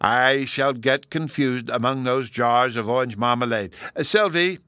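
A man voicing an eager, innocent little boy in a storybook, with artless, drawn-out childish speech. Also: medium pitch, pushed and bright, clear, resonant tone, dry recording, pitched above the performer's own voice. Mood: storytelling.

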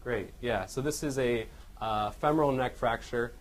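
A man presenting radiology cases as a teaching lecture, halting and often correcting himself, with questions to his listeners. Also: medium pitch. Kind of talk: medical case lecture with questions to the audience. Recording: noisy live lecture room recording.

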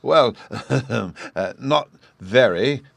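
A man voicing a kindly, jolly father who chats loudly and fondly in a storybook reading. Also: medium pitch, animated, very slow, vocal fry, dry recording, heavily acted. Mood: chatty. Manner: hearty, loud, fond.

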